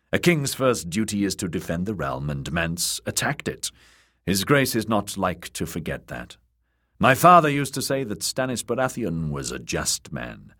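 Young commander for a storybook male reader acting: weary, stern, terse, very low and rough. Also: sing-song, a little slow, fairly bright tone, heavily acted. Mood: grave.

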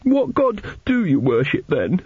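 High wobbly little boy voice, stammery, weepy, very pious, storybook style, bit muffled. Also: huge pitch swings, measured pace, breathy edge, no room sound, full character voice. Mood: curious, awed. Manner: stammering, hesitant. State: tearful, sniffling. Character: chubby, tearful, pious young schoolboy, timid and fervent.

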